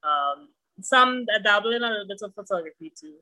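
A woman's voice: mid-range voice, expressive, a little fast, sharp tone, rough recording. A woman in her thirties, a musician talking thoughtfully about herself as a guest in a casual interview. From Trinidad and Tobago.

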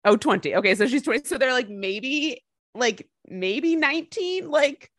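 A woman's voice: high-pitched, animated, normal speed, a bit bright, no room sound, studio quality. A woman co-hosting a podcast, chatting casually and jokingly about a film, with lots of fillers. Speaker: podcast co-host.

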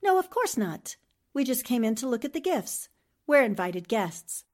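Woman acting a poised, high-voiced lady sleuth. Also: big pitch swings, normal speed. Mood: coolly tactful. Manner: polished, arch, teasing endearments. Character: poised, shrewd, witty newspaperwoman amateur sleuth. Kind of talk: 1940s radio drama acting.

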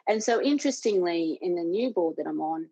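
Australian woman, mid-life interview guest, thoughtful, slightly lower voice, dry muffled recording. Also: lively intonation, brisk, gravelly, mellow, breathy edge, no room sound. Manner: earnest, thoughtful, many restarts. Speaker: guest, parent and board member. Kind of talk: conversational interview.

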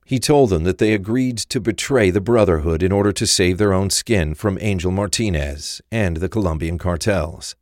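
Deep-voiced male crime narrator. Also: normal speed, few pauses, darkish tone, no echo, studio quality. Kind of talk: scripted narration of crime audio drama.

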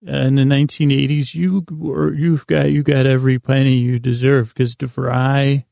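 Very gravelly, mellow American man, rambling soothingly. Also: mid-range voice, little pitch movement, dry recording, clean studio sound. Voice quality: lulling, soothing tone. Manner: meandering, halting, digressive, self-deprecating. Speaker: bedtime podcast host. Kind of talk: rambling soothing sleep-podcast monologue.

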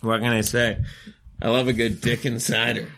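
A man, a comedian, chatting and punning loudly in a casual podcast, with deadpan jokes. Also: mid-range voice, normal speed, slight background noise. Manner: loud, jokey, deadpan puns.

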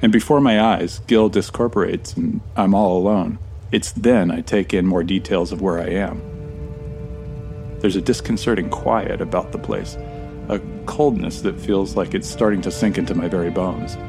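A man's voice: deep voice, moderate pace. An actor with an American accent playing a wary, stranded man who narrates in the first person, wry and uneasy. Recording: guitar music behind the voice.

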